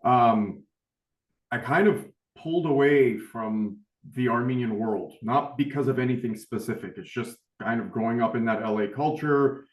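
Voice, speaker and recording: Middle-aged male panelist, humble and chatty, roomy echo on the call. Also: medium pitch. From United States. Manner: humble, rambling, many fillers. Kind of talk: webinar panel talk. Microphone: video call.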